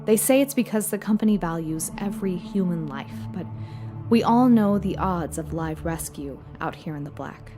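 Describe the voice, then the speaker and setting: An actress plays a woman who captains a deep space freighter, speaking in a fairly low voice. She delivers her report in a measured, official, matter-of-fact way, as scripted audio drama narration. Faint music runs behind her. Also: expressive, close to an everyday voice. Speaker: narrator of a fiction podcast.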